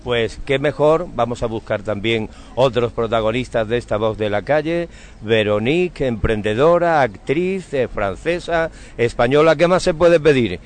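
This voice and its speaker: A man's voice: medium pitch, expressive, moderate pace, a little hiss or hum. A man hosting a conversational local radio interview, effusive and courteous, with florid phrasing. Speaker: radio host interviewing on the street.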